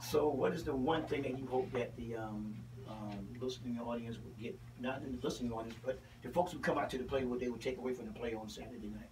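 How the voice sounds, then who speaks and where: A man's voice: medium pitch, fast, few pauses, a little mellow, a little hiss or hum. A man hosting a casual radio interview. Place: small radio studio, indoors. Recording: distant from the microphone.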